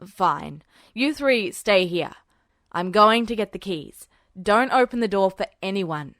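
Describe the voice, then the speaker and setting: Woman putting on a low-voiced, officious male soldier. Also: expressive, takes it slowly, fairly bright tone, no echo, clean studio sound. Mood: brisk, officious. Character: adult male soldier, officious, flustered lance corporal. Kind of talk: character dialogue in fan fiction reading.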